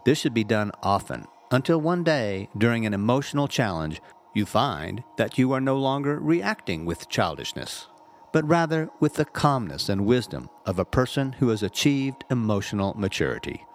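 A man reading a scripted inspirational message as a reverend, in a measured, earnest and carefully phrased way. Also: mid-range voice, very animated, a little hiss or hum. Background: background music.

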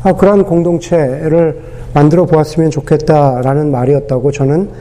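Hoarse-voiced male pastor with a cold. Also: on the high side, steady tone, dark tone. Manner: unhurried, with many pauses and fillers. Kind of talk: sermon.

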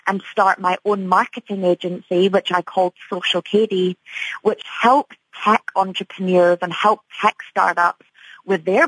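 Seorang perempuan beraksen Skotlandia berbicara ceria dan santai dalam wawancara radio, dengan banyak jeda "um" dan "uh". Suaranya kering dan dekat, tanpa gema ruangan. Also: female voice, mid-range voice, a bit bright, husky, dry recording, poor audio. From Scotland. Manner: upbeat, chatty, many fillers. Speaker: tech company CEO, guest. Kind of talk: radio interview answers.

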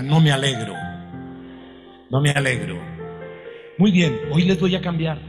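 Fervent male preacher in echoing hall. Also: on the high side. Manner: slow, building to fervent shouted repetition. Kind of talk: sermon to a congregation. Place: church hall with congregation. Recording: long hall reverb with faint music.

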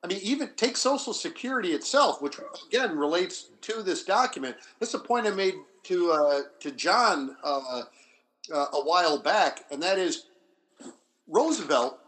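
A man speaking off the cuff as a history scholar in a panel discussion, explaining deliberately with halting restarts and repeated phrases. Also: sits high, lively intonation, a bit bright, poor audio.

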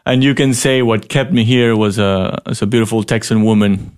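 A man from Ecuador who speaks natively, a guest on a radio interview, talking haltingly with many fillers. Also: on the low side, fairly even intonation, a little mellow, clean tone. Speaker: guest, school board president. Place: radio studio.

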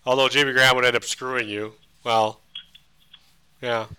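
A man co-hosting a podcast, easygoing and quick to laugh. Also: mid-range voice, flat delivery, sharp tone, low-quality recording. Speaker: podcast co-host. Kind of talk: casual chat.

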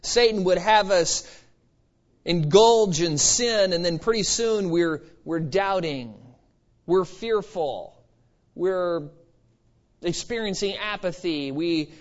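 A man's voice: sits high, slow pace. A man preaching an expository sermon in an emphatic, exhorting manner with conversational asides. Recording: slightly dull, lo-fi sermon recording.

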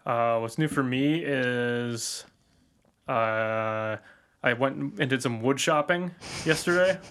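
Man with a flat, monotone voice. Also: medium pitch, takes it slowly, clean tone. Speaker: podcast co-host. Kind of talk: casual chat.

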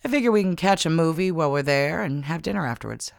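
A man's voice: on the high side, lively intonation, fast talker, vocal fry, no echo, studio quality, voice pushed up. A man voicing a playful, sly, flirty woman in audiobook dialogue, with a teasing manner. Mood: sly, inviting.

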